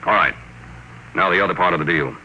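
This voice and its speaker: An actor playing a cool, defiant, shrewd captive who stays wry and unflappable in an old-time radio drama. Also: male voice, low-pitched, fast, bright, forceful tone, dull audio. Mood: cool bargaining. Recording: vintage radio recording with faint noise.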